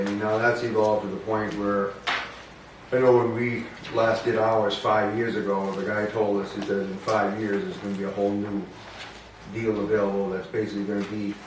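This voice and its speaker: A man leading an informal public meeting in a matter-of-fact, hedging way, talking things through with others. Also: on the low side. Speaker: town budget committee member leading a meeting. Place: indoor meeting room, public town meeting. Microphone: distant room microphone. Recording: very echoey room, distant microphone, noisy.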